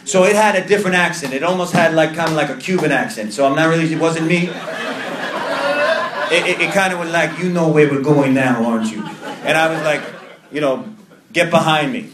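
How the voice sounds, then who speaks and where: A man's voice: a little high, fairly quick, a bit bright, roomy sound, a little hiss or hum. A man in his thirties with a New York accent, giving earnest personal testimony to a recovery group, often repeating and restarting phrases. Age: 30s. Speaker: recovery meeting speaker. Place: meeting room.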